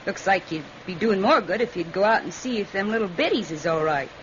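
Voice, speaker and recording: A woman's voice: medium pitch, animated, fairly quick, fairly bright tone, lightly acted. An actress playing a callous, evasive farm wife and stepmother with a rural Southern American accent in a vintage radio drama. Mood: cool, sly. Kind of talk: 1940s radio drama acting. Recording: muffled, noisy old radio recording.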